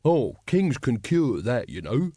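A man's voice: medium pitch, very animated, moderate pace, dry recording, studio quality. A man voicing a stolid, ponderous royalist know-all, lecturing in an audiobook. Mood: knowing, self-satisfied.

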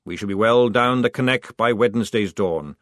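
A man reading an audiobook, voicing a gruff veteran army sergeant who barks his orders loudly. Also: fairly low pitch, normal speed, dry recording, clean studio sound, lightly acted. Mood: commanding.

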